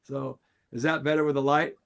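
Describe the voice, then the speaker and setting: An older man with a naturally raspy voice talks in a relaxed podcast chat. He tells his story earnestly, breaking into laughs as he goes, and his pitch sits right in the middle for a man. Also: brisk, crowd noise. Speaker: podcast co-host.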